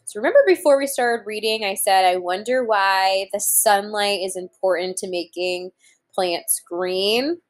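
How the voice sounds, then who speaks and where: A woman in her thirties reading aloud to young children as a teacher, pausing to explain things simply and deliberately. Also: medium pitch. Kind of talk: children's read-aloud lesson with explanatory asides. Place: room at home, indoors.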